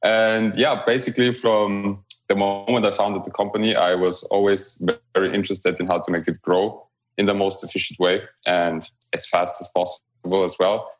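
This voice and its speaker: Deep-voiced man. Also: moderate pace. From Romania. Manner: relaxed, hesitant with frequent fillers and restarts. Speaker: guest, blockchain company growth lead. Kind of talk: remote interview conversation.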